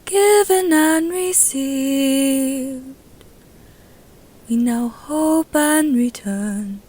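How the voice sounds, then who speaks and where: A woman narrator playing a young woman who slowly sings a solemn funeral blessing in a story. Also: high-pitched, warm tone, faint music behind, pitched above the performer's own voice. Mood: solemn, reverent. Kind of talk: slow chanted singing.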